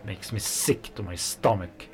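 An actor playing an angry working-class kitchen worker, a fiery socialist who talks in heated, scornful bursts. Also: male voice, fairly low pitch, fairly even intonation, a little slow, darkish tone, natural voice. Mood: bitter. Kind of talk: radio play acting.

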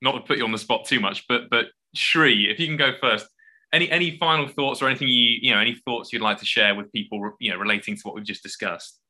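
A man's voice: mid-range voice, quick pace, sharp tone. A man hosting a podcast, asking questions and wrapping up with his guests in a friendly way. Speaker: podcast host. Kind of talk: podcast interview.

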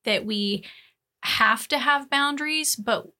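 A woman's voice: fairly high pitch, slow, bright, forceful tone. A woman co-hosting a podcast, chatting casually as a counselor about her work and life. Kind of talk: casual chat.

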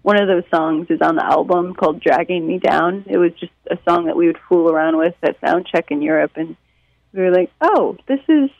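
Casting: American woman, musician guest, animated casual talker, gravelly creak, close and slightly muffled. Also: on the low side, brisk, dry recording. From Detroit, United States. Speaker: musician and songwriter being interviewed. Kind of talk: casual chat, interview answers.

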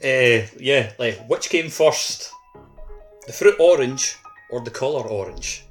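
A man's voice: mid-range voice, expressive, slow pace, breathy edge, faint music behind. A man co-hosting a podcast in casual chat. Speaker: podcast co-host.

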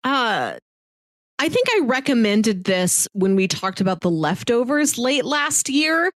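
A woman's voice: on the high side, animated, measured pace, fairly bright tone, dry recording, studio quality. A woman co-hosting a casual review podcast, talking analytically and articulately and expanding on her thoughts at length. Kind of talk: casual film and TV review chat.

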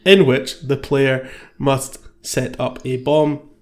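A man's voice: medium pitch, steady tone, slow. A man taking part as a contestant in a comedy panel game, bantering and improvising reviews.

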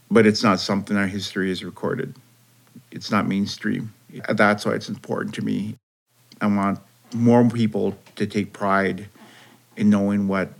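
A man interviewed about his grandfather, speaking earnestly with some hesitation and trailing off softly. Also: on the low side, vocal fry. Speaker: interviewee, grandson of a code talker. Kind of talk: interview testimony.

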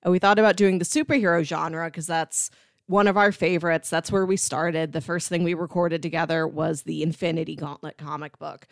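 A woman's voice: sits low, fairly even intonation, brisk, no echo, studio quality. A woman in her thirties co-hosting a podcast, talking casually about movies. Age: 30s. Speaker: podcast co-host.

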